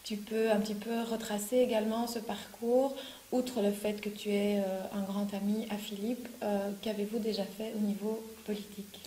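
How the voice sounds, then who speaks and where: A woman's voice: mid-range voice, little pitch movement, moderate pace, warm tone. A woman interviewing a local candidate, asking informal, friendly questions with frequent fillers. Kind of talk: campaign interview. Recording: echoey room with faint hiss.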